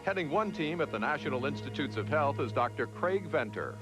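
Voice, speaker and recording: A man reading scripted narration for a television news special in a measured, emphatic way. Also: mid-range voice, animated. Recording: 1980s television on VHS, music underneath. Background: background music.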